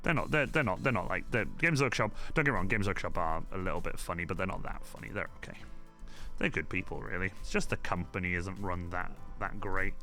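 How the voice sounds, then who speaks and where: Bloke streaming his prop build, bright voice, swears loads, bit of music in the background. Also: low voice, brisk. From Wales. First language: Welsh. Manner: chatty, sweary, reads chat aloud. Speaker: cosplay streamer. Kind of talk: casual live-stream chat while crafting. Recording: faint music behind.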